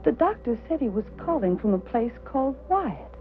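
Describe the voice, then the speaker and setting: Shaken, high-voiced anxious wife. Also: female voice, big pitch swings, normal speed. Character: tense, anxious wife relaying news. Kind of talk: 1950s drama acting. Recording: tinny vintage soundtrack, faint music.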